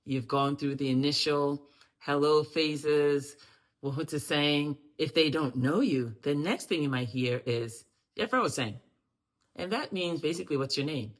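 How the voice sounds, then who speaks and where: A woman teaching greetings in a friendly lesson to camera, repeating phrases slowly for learners to echo and breaking into loud, emphatic bursts. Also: low-pitched. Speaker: language and culture teacher.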